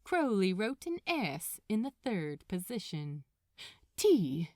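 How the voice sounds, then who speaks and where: A woman reading fan fiction aloud as an expressive story narrator. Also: medium pitch, a little slow, no room sound, studio quality.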